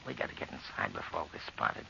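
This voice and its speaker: A man's voice: fast, lightly acted. An actor playing a brash, callous burglar who bullies and wheedles in slangy tough-guy talk in an old radio horror drama. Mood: hushed, impatient. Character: brash, callous male burglar, pushy and scornful. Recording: muffled, noisy old radio recording.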